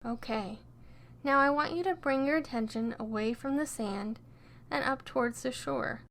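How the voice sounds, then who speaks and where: A woman narrating a scripted guided meditation in a gentle, soothing and encouraging way. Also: sits high, normal speed.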